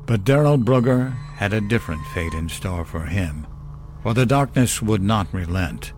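A man giving a scripted horror narration in a measured, solemn, deliberate way. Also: fairly low pitch, expressive, darkish tone. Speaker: narrator of a horror fiction podcast. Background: music bed.

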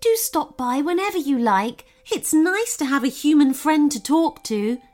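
A woman's voice: high voice, lively intonation, unhurried, a little mellow, voice pushed up. A woman voicing a kindly, anxious female goblin with a folksy, polite way of talking, in a storybook tale. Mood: warm thanks.

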